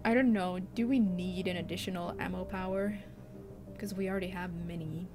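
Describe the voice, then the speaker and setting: A woman's voice: fairly low pitch, fairly even intonation, brisk. A woman from Finland with a Finland-Swedish accent, whose first language is Swedish, chatting casually and thinking aloud as she plays a game. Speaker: let's play streamer. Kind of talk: casual gameplay commentary. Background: game music.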